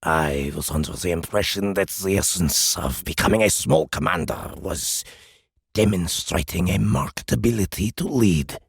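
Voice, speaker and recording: Gravelly, sardonic alien officer. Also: male voice, low voice, lively intonation, no room sound, full character voice. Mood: dry sarcasm. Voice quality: gravelly, raspy. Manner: deliberate, cutting, drily mocking. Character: stern, sardonic senior male alien military officer. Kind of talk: audiobook character acting.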